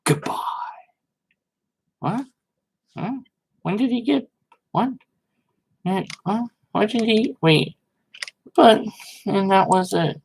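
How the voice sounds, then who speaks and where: A man giving informal, sarcastic commentary and dramatic readings, with a halting, theatrical manner. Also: high-pitched, lively intonation, vocal fry, a little mellow, slight background noise. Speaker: host reading and commenting on social media posts.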